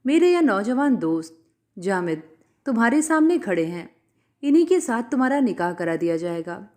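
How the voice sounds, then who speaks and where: A woman's voice: a little high, big pitch swings, normal speed, warm tone. A woman voicing a stern, menacing male cleric in a story, preachy and commanding. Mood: self-justifying. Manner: commanding, self-justifying, preachy.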